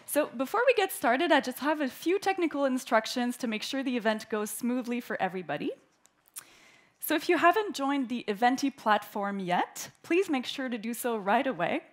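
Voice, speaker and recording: Woman from Canada hosting a conference opening, fairly high voice, reading prepared welcome remarks. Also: animated, normal speed. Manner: warm, clear and orderly, reading prepared remarks. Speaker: event host, opening speaker. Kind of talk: formal welcoming speech. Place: conference hall.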